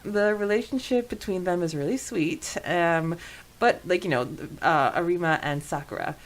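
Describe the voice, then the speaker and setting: Giggly, lower-pitched female podcast co-host. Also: normal speed, low-quality recording. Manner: enthusiastic, giggly, frequent laughing. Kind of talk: casual discussion recapping a fan fiction chapter.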